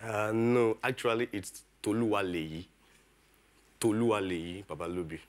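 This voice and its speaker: Nigerian-accented male contestant, low voice, polite explainer. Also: fairly even intonation, unhurried. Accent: Nigerian, mixed regional.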